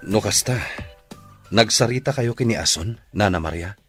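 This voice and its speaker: A man's voice: lowish voice, moderate pace, a bit bright, faint music behind, lightly acted. A man playing an earnest, coaxing father in an Ilocano radio drama. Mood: puzzled. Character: earnest father, Danilo, coaxing his child.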